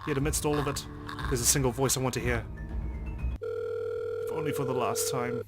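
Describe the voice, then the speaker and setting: Male let's player, mid-pitched, dramatic narration of on-screen text with sweary reactions, music clearly behind. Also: fairly even intonation, a little fast. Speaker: let's play host. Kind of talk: dramatic reading aloud of game text, with reactions.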